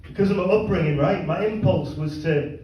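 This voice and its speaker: A man doing a stand-up comedy set in a club, delivering crude deadpan punchlines with halting asides to the crowd. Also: high-pitched, lo-fi sound, a little hiss or hum. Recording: echoey club miked from a distance. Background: audience laughter.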